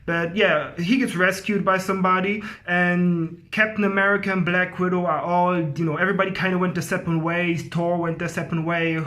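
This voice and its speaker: Male reviewer, high-pitched voice, informal and enthusiastic, talks like a fan and repeats himself for emphasis. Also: level tone, fairly quick, few pauses, pushed and bright. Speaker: video movie reviewer. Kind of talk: casual excited movie review.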